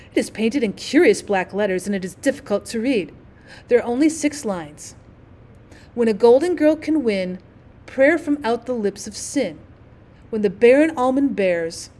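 A woman's voice: a little high, warm tone. A woman voicing a kind, spirited young girl in a storybook, with an earnest, emphatic way of talking. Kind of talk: storybook character reading.

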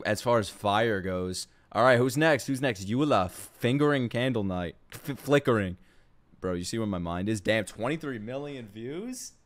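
A man streaming live reactions to game videos, joking and swearing in slangy, exclamatory bursts. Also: mid-range voice. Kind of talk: live reaction commentary, crude slangy banter. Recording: music playing behind the voice. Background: game trailer music.

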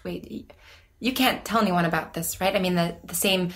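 A woman's voice: fairly low pitch, moderate pace, fairly bright tone, natural voice. A woman playing a nervous young therapy patient in an audio drama, rambling and hesitant in a naturalistic style. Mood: anxious confiding. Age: young adult. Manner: hesitant, stammering, self-conscious rambling.

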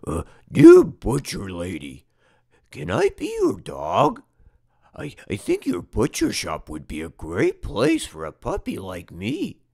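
A man voicing an eager, lonely homeless puppy who pleads haltingly in a storybook read-aloud. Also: fairly low pitch, vocal fry, breathy edge, heavily acted. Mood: hopeful. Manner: hesitant, stammering, expressive.

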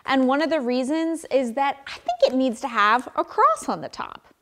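A young woman pastor speaks to children in a high, bright voice. Her delivery is animated and friendly, with lots of simple questions and encouragement. She sounds like she's in a church sanctuary, picked up by a camera across the room. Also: normal speed. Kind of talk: children's sermon. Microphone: far camera.

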